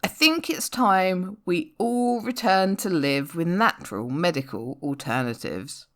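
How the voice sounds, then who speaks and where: An opinionated young New Age woman played by a woman reader, preachy and insistent in a storytelling poem. Her lowish voice swings widely in pitch as she declaims. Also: expressive, measured pace, fairly bright tone.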